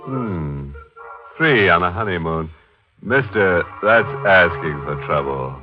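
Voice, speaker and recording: A man's voice: low voice, lively intonation, slow pace, muffled recording. An actor playing a sly, ghoulishly playful horror host in 1940s radio, sardonic and teasing, drawing out his words. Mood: sly, mocking. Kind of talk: 1940s radio horror hosting with wry jokes. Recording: band-limited 1940s radio recording, organ music.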